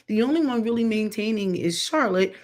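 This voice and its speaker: A woman's voice: medium pitch, lively intonation, fairly quick, darkish tone. Blunt chatty middle-aged woman. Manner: chatty, candid, blunt, with mocking asides. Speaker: vlogger reviewing a TV show. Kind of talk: casual opinion commentary.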